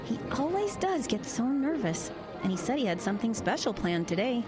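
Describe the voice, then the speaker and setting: An actress playing a kind young small-town woman in an audio drama, speaking in a gentle, conversational way. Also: on the high side, very animated, a little fast, music in the background. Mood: friendly, casual. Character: kind young small-town hospital doctor, pastor's sweetheart. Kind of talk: naturalistic audio drama acting.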